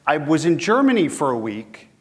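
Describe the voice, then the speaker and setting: A man's voice: on the high side, very animated, moderate pace. A man with a head cold, sounding a little sniffly, giving a conversational conference lecture and putting questions to the audience. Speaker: guest lecturer.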